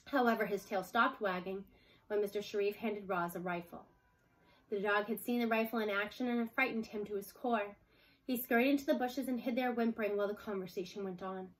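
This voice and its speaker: A woman reading a children's book aloud as an expressive scripted narration. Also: medium pitch, a little fast, rough recording. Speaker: storybook reader.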